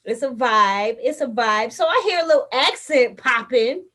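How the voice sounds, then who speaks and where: A woman's voice: a little high, expressive, normal speed, fairly bright tone. A woman from Baltimore hosting a casual interview show, upbeat and full of slang. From Baltimore, USA. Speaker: host.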